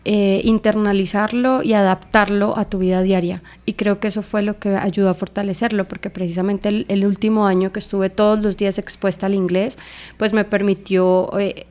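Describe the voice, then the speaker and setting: A woman's voice: mid-range voice, level tone, normal speed, darkish tone. A young Colombian woman with a Colombian Spanish accent, giving thoughtful interview answers full of small fillers. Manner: thoughtful, with many fillers like "eh" and "digamos". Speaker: guest.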